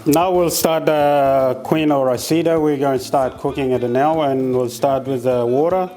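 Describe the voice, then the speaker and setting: A man from South Sudan with a South Sudanese accent, demonstrating a recipe in his kitchen and explaining each step in an unhurried way, with many hesitant pauses. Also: medium pitch, steady tone, mellow. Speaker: home cook. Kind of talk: cooking demonstration.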